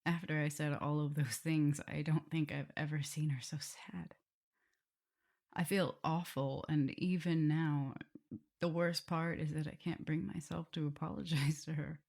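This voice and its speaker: A woman acts out a loving, nervous vampire mistress for an ASMR roleplay. Her voice sits very low for a woman, with heavy vocal fry. She talks haltingly and intimately, easily drifting toward a whisper. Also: level tone. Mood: regretful. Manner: hesitant, halting, breaking into whispers and soft laughs. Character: tender, nervous, guilt-ridden female vampire mistress in love.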